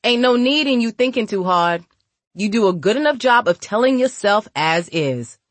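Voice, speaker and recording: A woman's voice: a little high, expressive, moderate pace, dry recording, voice pushed up, close to an everyday voice. A woman voicing a cold, tough old woman in an audiobook, stern and menacing with street slang. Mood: scolding.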